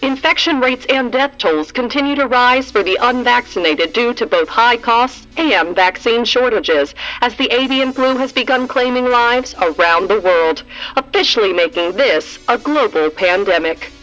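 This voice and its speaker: A woman's voice: fairly high pitch, expressive, moderate pace, fairly bright tone, soft background music, rough recording. A woman playing a composed news reporter in an audio drama, delivering a scripted report. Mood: serious.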